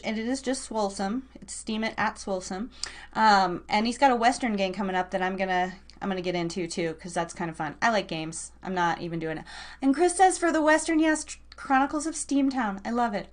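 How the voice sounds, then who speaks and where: A woman with a fairly high voice hosts a live online radio show. She talks casually to her listeners, wandering from thought to thought in an informal, think-aloud way. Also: normal speed. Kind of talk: casual, chatty livestream talk to listeners.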